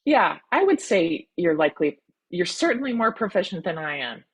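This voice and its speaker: A woman's voice: on the low side, very animated, normal speed, breathy edge. A woman college admissions counselor teaching an online workshop over a video call, encouraging and full of ums and restarts.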